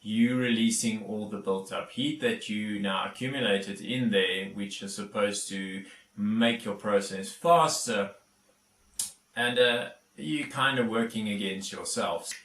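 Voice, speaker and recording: A man's voice: on the low side, unhurried, fairly bright tone, echoey room, far from the mic. A South African man whose first language is Afrikaans, hosting a casual DIY vlog and explaining each step with plenty of fillers. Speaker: YouTube DIY host.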